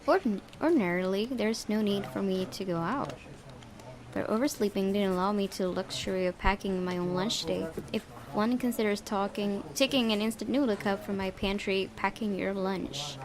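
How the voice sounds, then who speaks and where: A woman with a mid-pitched voice reads story narration aloud as a let's player. She drops in casual asides and sometimes trips on a word before correcting it, with clear background voices behind her. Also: normal speed, a crowd in the background. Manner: stumbles over words and corrects herself.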